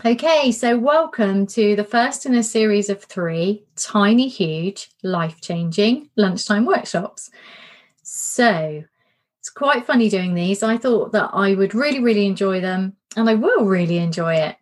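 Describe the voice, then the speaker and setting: A middle-aged woman talks through a workshop in a relaxed, candid way, often repeating words for emphasis. Her voice sounds a little worn, as if she's starting to lose it. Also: medium pitch, a little slow. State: slightly losing her voice. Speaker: coach and podcast host. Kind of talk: casual spoken workshop talk.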